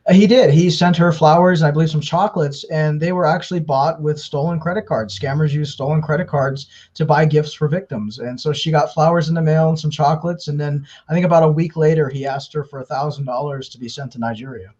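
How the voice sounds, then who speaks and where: A man's voice: on the high side, steady tone, a little fast, hardly any pauses, a little mellow. Man in dull lo-fi audio. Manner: conversational, explains at length with frequent fillers and restarts. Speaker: interview guest, scam baiter. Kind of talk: casual interview talk.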